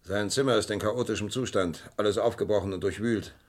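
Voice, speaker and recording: A man's voice: lowish voice, brisk, a little hiss or hum, close to an everyday voice. A man playing a dutiful police assistant, matter-of-fact, in a German radio crime play. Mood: matter-of-fact, inquiring. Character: dutiful male police assistant reporting to his inspector.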